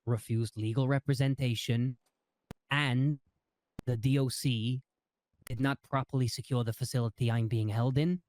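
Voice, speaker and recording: A man in a roleplay meeting, playing an ex-prosecutor who lays out legal advice in a measured, lecturing way with frequent restarts. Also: medium pitch, fairly even intonation, darkish tone. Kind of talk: in-character roleplay meeting talk.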